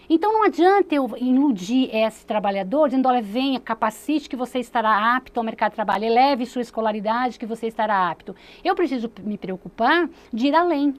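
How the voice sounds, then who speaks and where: A woman, a city secretary explaining her views in an earnest studio interview, with many fillers and restarts. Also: high voice. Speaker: municipal secretary, interview guest.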